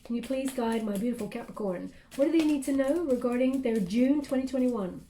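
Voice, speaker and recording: A woman with a fairly high, mellow voice gives a tarot reading indoors at a table, chatty and reassuring. Her voice sounds scratchy, as if she has been ill. The room adds a noticeable echo. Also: a little fast. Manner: chatty, reassuring, tag questions like okay. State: scratchy voice from illness. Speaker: tarot reader.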